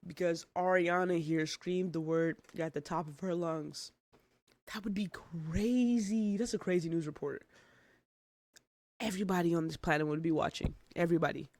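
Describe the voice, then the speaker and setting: High-pitched man reacting, dry close sound. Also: fairly even intonation, moderate pace, darkish tone, no echo. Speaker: reaction video host. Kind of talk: casual reaction commentary.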